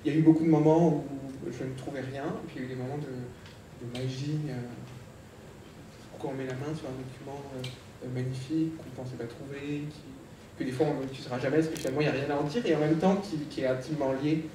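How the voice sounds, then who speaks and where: A man's voice: mid-range voice, fairly even intonation, quick pace, background noise. Hesitant man in an echoey hall. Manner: hesitant, many fillers and restarts. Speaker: panel speaker, biographer. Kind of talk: conference panel talk. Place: large lecture hall. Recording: echoey hall, speaker far from mic.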